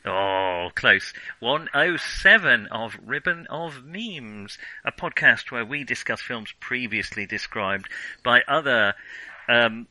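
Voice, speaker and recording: A man hosting a podcast and casually discussing films, in a halting, hesitant way that often doubles back on itself. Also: medium pitch, expressive, pushed and bright, no echo, low-quality recording. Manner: halting, hesitant, frequent self-interruptions. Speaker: podcast host.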